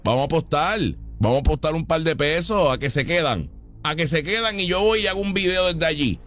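Excitable Puerto Rican man ranting. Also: on the high side, fairly quick, faint music behind. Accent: Puerto Rican Spanish. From Puerto Rico. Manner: excitable, mocking, repeats himself. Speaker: online commentator reacting to a trial stream. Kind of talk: casual live commentary.

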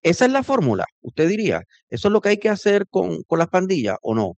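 A man's voice: high voice, huge pitch swings, fast, gravelly, a little mellow, no room sound. Male radio interviewer asking probing questions. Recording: dull muffled radio sound.

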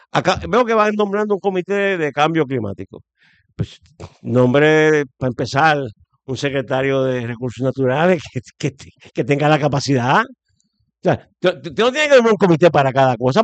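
A Puerto Rican man with a Puerto Rican accent, giving forceful opinions as a guest, with lots of fillers and restarts. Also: a little high, lively intonation, moderate pace, no echo. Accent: Puerto Rican Spanish. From Puerto Rico. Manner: emphatic, many fillers and restarts. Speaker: guest commentator. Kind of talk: opinionated conversational commentary.

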